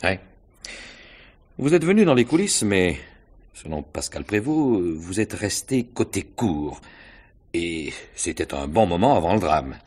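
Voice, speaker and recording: Shrewd polite police inspector in an old-style radio mystery, deep husky voice, probing slowly with little hesitations. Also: male voice, very animated, darkish tone, exaggerated voice. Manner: hesitant, deliberate questioning. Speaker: actor in a radio mystery drama. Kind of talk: French radio mystery play acting, 1950s style.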